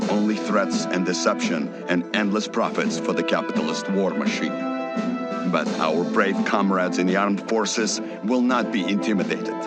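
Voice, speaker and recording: A man's voice: deep voice, close to an everyday voice. A man playing a resolute, grandiose Soviet newsreader, declaiming solemnly in a propaganda broadcast.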